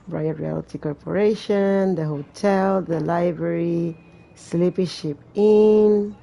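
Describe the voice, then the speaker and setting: A woman's voice: fairly low pitch, steady tone, slow, creaky, dark tone. A woman hosting a gaming video, reading game text aloud and breaking off for wry, blunt, casual asides. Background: faint game music.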